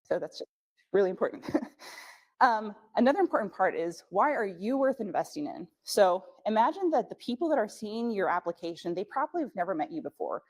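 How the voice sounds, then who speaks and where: A woman's voice: mid-range voice, big pitch swings, fairly quick, a little mellow. Chatty woman, German native speaker. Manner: chatty, frank, many fillers. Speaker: scholarship workshop presenter. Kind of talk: casual workshop talk.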